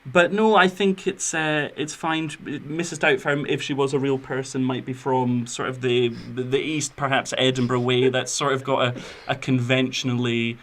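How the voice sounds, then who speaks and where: A man's voice: medium pitch, steady tone, normal speed, hardly any pauses. A man presents a film podcast in a mid-range voice. He moves between reading prepared career notes and loose, chatty asides. His manner is wry and informal. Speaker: podcast host. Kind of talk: semi-scripted film podcast chat with biographical narration.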